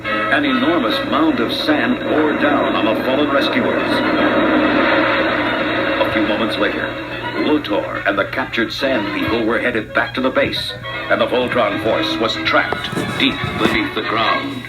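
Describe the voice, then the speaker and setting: A man reading a children's adventure storybook as narrator, in a dramatic, theatrical, measured way. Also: medium pitch, loud music behind the voice, poor audio.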